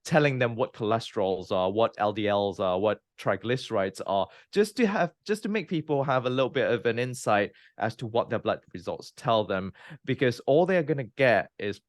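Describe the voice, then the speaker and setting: A man in his thirties from Malaysia, a scientist guest explaining his career in a measured way with frequent "um" and "you know". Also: lowish voice, lively intonation, no echo, studio quality. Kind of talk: interview, recounting his career.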